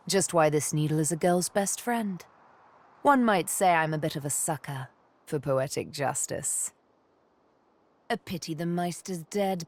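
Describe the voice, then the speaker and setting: A woman's voice: deep voice, exaggerated voice. A woman playing a sly, bitter elf assassin and former slave in a video game, with a teasing, menacing way of telling things. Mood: cold menace. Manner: slow, teasing, menacing storytelling delivery. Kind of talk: video game character acting. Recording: music behind the voice.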